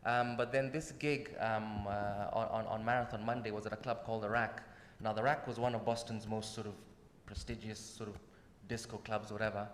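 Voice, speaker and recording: A man's voice: on the low side, fairly quick, few pauses. Kenyan man telling stories in an echoing hall. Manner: animated, laughing, with many restarts and repeated words. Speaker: guest musician. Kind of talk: casual storytelling on a talk show. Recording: roomy hall with long echo, faint noise.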